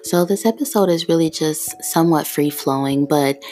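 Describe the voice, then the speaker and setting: A woman in her thirties hosting her own podcast in a relaxed, free-flowing chat, her voice still sleepy in the morning. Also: sits low, normal speed, soft background music. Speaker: podcast host. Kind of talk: casual solo podcast chat.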